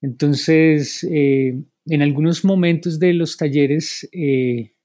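A man's voice: on the high side, fairly even intonation, takes it slowly, dark tone, no room sound. A man who runs writing workshops, speaking hesitantly with many fillers. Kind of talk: conversational interview answer.

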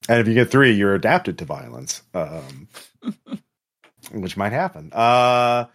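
Man game master, animated and halting. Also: fairly low pitch. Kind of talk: casual, improvised narration of a tabletop horror game.

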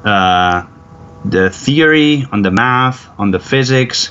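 A man's voice: medium pitch, expressive, a bit bright. Hesitant young man from near Barcelona. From Spain, near Barcelona. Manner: careful, many "uh" pauses. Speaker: plant manager, guest. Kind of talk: interview answers. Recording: dull lo-fi line with faint noise.

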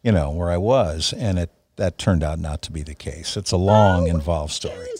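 A man's voice: low voice, normal speed, a crowd in the background. A male radio co-host chatting casually in a hesitant, noncommittal way, speaking into a broadcast microphone. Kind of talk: casual chat. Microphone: broadcast dynamic microphone.

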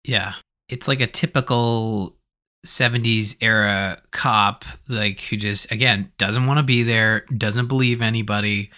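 A man's voice: lowish voice, fairly even intonation, measured pace, sharp tone. A man hosting a podcast, discussing films in a casual, conversational and hedging way. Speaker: podcast host.

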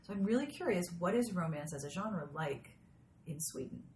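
A woman hosting a casual interview, playful and quick to interject with wry remarks. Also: lowish voice, lively intonation, a little mellow. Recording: echoey room with crowd noise behind. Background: crowd chatter.

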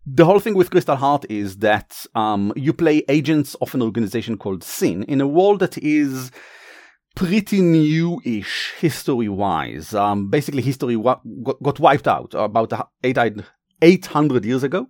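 A man with an Israeli accent explaining and narrating as game master in a halting, casual, enthusiastic way. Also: mid-range voice, big pitch swings, darkish tone, dry recording, studio quality. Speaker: game master, game designer and writer.

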